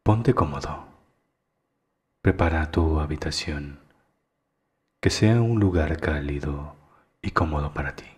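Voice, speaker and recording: Super deep male voice, really slow n hushed, guided meditation style, lots of pauses. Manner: hushed, deliberate, with long pauses.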